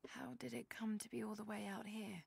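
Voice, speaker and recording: Young female game adventurer: pensive, hushed, very husky, heavy creak. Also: medium pitch, steady tone, a little fast, exaggerated voice. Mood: quiet wonder. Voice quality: breathy, near-whispered. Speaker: video game actress. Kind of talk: game character musing aloud.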